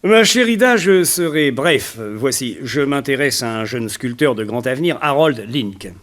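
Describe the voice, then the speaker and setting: A man's voice: mid-range voice, expressive, measured pace, a bit bright. An actor playing a rich, mad and courteous villain in a 1960s French radio drama, savouring his polite phrases. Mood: courteous, charming. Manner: polite, savouring, theatrical delivery. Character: smooth, mad, courteous rich villain who collects statues.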